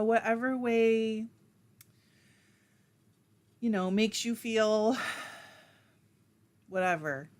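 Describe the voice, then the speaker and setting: A woman at a middle pitch hosts a relaxed livestream. She reads viewer comments aloud and answers them in a chatty, self-deprecating way. Laughter keeps slipping into her talk. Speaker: livestream host.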